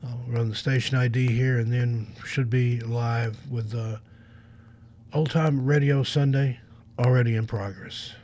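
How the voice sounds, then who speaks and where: A man's voice: medium pitch, steady tone, unhurried, darkish tone, slight background noise. A man hosting a radio livestream, chatting casually in a rambling, off-the-cuff way. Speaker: radio host.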